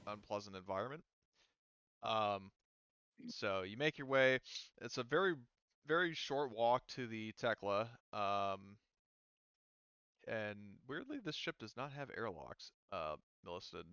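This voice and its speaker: A man with a low, smooth voice runs a tabletop roleplaying game as game master. He speaks in a halting, self-muttering way. The recording is dry and close, with a slightly muffled top end. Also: big pitch swings, a bit bright, no echo.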